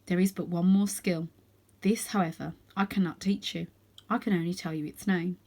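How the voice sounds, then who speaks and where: A woman's voice: fairly low pitch, steady tone, a little mellow. Woman reading a solemn, wise old male cat. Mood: solemn, instructive. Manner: measured, solemn, instructive.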